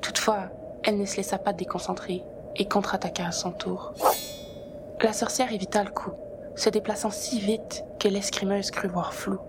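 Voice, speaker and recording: A woman narrates a written story as its author, in a medium-pitched voice. The recording has clear noise behind her words. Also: fairly even intonation, normal speed.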